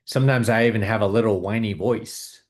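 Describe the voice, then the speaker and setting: A man hosting a video, calmly guiding viewers through a tapping exercise with repeated phrases. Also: fairly low pitch, a little fast. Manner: calm, repetitive, coaching tone. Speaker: host leading a tapping exercise. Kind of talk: guided tapping exercise and money talk.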